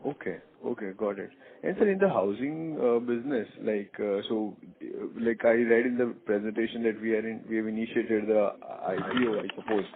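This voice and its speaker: A man's voice: fairly low pitch, fairly quick, few pauses. A man with an Indian accent politely putting questions as an analyst on an earnings call, over a phone line.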